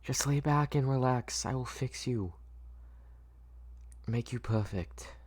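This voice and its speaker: A man's voice: medium pitch, slow pace, a little mellow, pitched above the performer's own voice. A man playing a controlling, obsessive old male doctor who coaxes and soothes in a patronizing way, in a dramatic script reading. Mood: coaxing, controlled.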